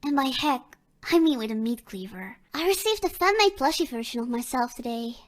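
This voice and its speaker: A woman's voice: high voice, lively intonation, brisk. A woman voicing a bubbly young female digital pop idol in a video game, with a perky, cute, theatrical delivery. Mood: playful, matter-of-fact. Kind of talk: video game character dialogue.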